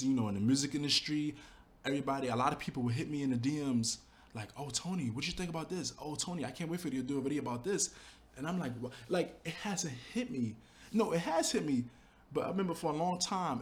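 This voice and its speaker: A young adult man, a YouTuber, talking casually and earnestly about building his channel, with lots of "you know" and quoted thoughts. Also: mid-range voice. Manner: earnest, animated storytelling with fillers like "you know". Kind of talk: casual reflective monologue.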